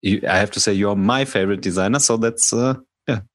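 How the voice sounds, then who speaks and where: Man, low voice, dry close recording, podcast co-host, earnest and halting. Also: dry recording. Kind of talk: casual podcast interview.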